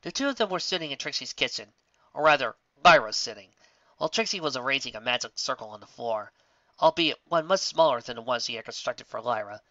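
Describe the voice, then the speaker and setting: Bright-voiced man narrating a story. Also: medium pitch, animated, fast, no room sound, muffled recording. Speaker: fan fiction narrator.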